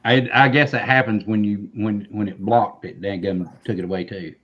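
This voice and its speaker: A man hosting a casual livestream chat. He talks in a lively, apologetic way and laughs often. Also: on the low side, normal speed, dull audio. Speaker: livestream host.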